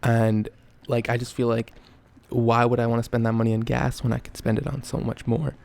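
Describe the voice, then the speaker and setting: A young man from Canada with a gravelly, just-woken-up rasp, wandering through answers with restarts and deadpan jokes. His pitch sits in the middle, and a faint hiss of room ambience runs under the voice. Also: brisk. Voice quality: raspy, just woke up. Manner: rambling, self-correcting, joking. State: just woke up. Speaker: YouTuber. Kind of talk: casual Q and A vlog.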